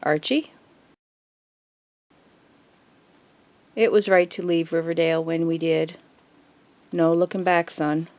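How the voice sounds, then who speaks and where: A woman playing a grave mother who tells an old family story in a measured, wistful way in an audio drama. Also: low-pitched, steady tone, darkish tone, no echo, close to an everyday voice. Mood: guarded.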